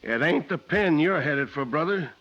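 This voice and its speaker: An actor playing a pushy, folksy small-town sheriff in a 1940s radio crime drama. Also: male voice, fairly high pitch, animated, normal speed. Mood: accusing. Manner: folksy, bullying, insistent. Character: gruff small-town sheriff, male, pushy and sure of himself. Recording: muffled old-time radio recording.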